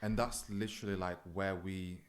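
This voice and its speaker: A man's voice: low voice, moderate pace. A young man hosting a podcast, chatting casually and rambling with lots of fillers. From not born in the UK. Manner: relaxed, rambling with many fillers. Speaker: podcast host. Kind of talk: casual chat.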